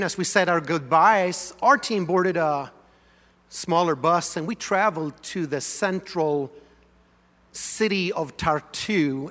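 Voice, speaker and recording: Swedish-born pastor, bright, slightly high voice, chatty storytelling sermon, big reverberant room. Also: male voice. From Sweden. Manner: animated, conversational, leads congregation in song. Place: large church sanctuary.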